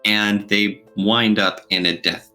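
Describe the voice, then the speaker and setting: A man's voice: lowish voice, steady tone, slow, bright, forceful tone, faint music behind. A man chatting casually as a podcast co-host, talking in an enthusiastic way.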